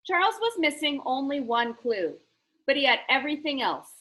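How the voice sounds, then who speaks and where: Female storybook reader, high and bright, animated delivery for young listeners. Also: normal speed. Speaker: reader of a children's novel aloud. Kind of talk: expressive storybook read-aloud.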